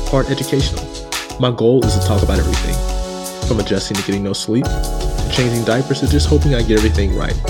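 A man hosting a podcast, opening with a scripted intro and welcoming a guest in an upbeat way. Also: medium pitch. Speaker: podcast host. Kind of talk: scripted intro then casual interview.

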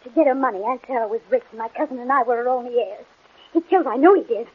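An earnest, accusing young woman in an old radio melodrama. Her high, slightly husky voice moves quickly and emphatically through a narrow, phone-like broadcast. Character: insistent, accusing young female heiress. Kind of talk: 1940s radio melodrama acting.

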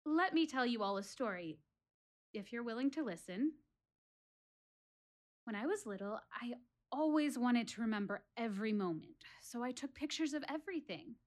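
A high, expressive voice of an actress playing a reflective woman alone on stage. She confides in her audience as she ponders life, wistful and deliberate in a theatrical audio play. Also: natural voice. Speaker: actress in a one-woman show. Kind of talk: theatrical dramatic monologue addressed to an audience.

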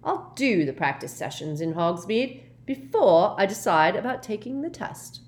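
A woman's voice: mid-range voice, very animated, normal speed, darkish tone, voice pushed up, lightly acted. A woman voicing a teasing, easily discouraged teenage schoolboy in an audiobook, with a wry manner. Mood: resolved.

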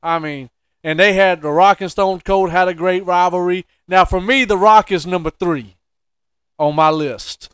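A man's voice: high voice, normal speed, fairly bright tone, clean tone, no room sound, studio quality. A man co-hosting a podcast, chatting casually and making his points in an emphatic, teasing, opinionated way. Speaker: podcast co-host. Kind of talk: casual sports-entertainment debate chat.

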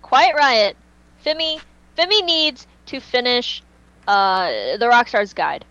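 The voice is a woman's, pitched fairly high, on a dull, lo-fi recording. She co-hosts a casual fan podcast, talking without a script. Her delivery is emphatic and a little theatrical, with repeated phrases for effect. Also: animated, takes it slowly, sharp tone. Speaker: podcast co-host and fan fiction writer.

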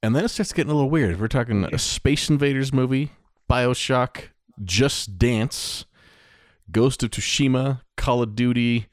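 A man's voice: mid-range voice, normal speed, dry recording, clean studio sound. A man co-hosting a podcast in casual chat. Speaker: podcast co-host. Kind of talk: casual geek-culture chat.

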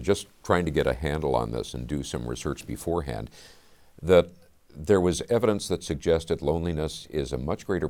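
A man hosting a talk show, asking measured questions of his guests. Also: rumbling low, darkish tone. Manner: measured questioning, frequent backchannel mm-hmms. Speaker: host.

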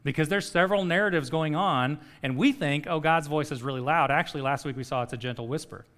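Middle-aged male pastor, a touch high-pitched, chatty sermon delivery. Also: fast, fairly bright tone. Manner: conversational, checks in with "okay?".

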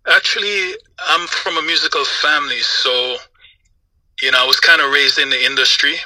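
A man from Jamaica and Trinidad who grew up in the United States, talking casually as an interview guest in a relaxed, conversational way. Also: on the high side, normal speed, no echo, poor audio. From Jamaica and Trinidad, raised in the United States. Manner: relaxed, conversational, with frequent "you know". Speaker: guest, music industry professional.

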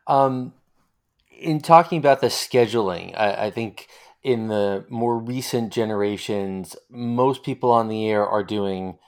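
A man's voice: fairly low pitch, a little slow. A middle-aged man hosting a conversational interview, working his way into questions in a tentative, roundabout manner. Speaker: interviewer, host. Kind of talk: conversational oral-history interview.

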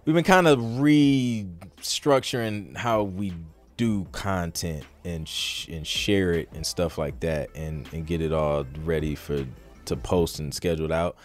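A man's voice: low-pitched, measured pace, faint music behind. A man hosting a podcast, answering questions in a casual, rambling way, thinking aloud with lots of filler phrases. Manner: rambling, thinking aloud, frequent "like" and "you know". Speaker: podcast host. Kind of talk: casual live Q and A talk, reading and answering questions.

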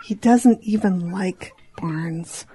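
A woman's voice: fairly low pitch, slow pace, dark tone, slightly rough. A woman playing a fretful, worried female farm animal in a children's audio drama. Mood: alarmed scolding.

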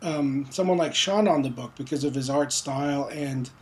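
A man raised in Montreal talks casually as a guest, rambling with many fillers. His telling stays loose and unhurried. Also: mid-range voice. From Philippines, raised in Montreal. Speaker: comic book writer. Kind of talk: casual interview.

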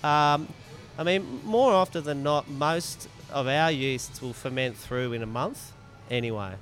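A man's voice: medium pitch, unhurried, a bit bright, faint music behind. A man chatting casually as an interview guest, explaining his craft informally and repeating phrases for emphasis. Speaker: podcast guest, mead maker.

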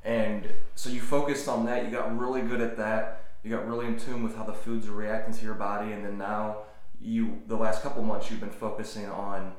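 A man's voice: lowish voice, level tone, fairly quick. A man co-hosting a podcast in casual chat, summarizing and explaining points with frequent "like" fillers. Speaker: podcast co-host. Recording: very echoey room.

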